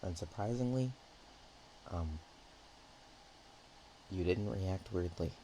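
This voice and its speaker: Roleplay ASMR dragon, played by a man: naive, affectionate, nervous rambler; low-pitched, relaxed mellow delivery with halting phrasing. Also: a little hiss or hum, rough recording. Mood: bashful, rambling. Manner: hesitant, halting, softly rambling.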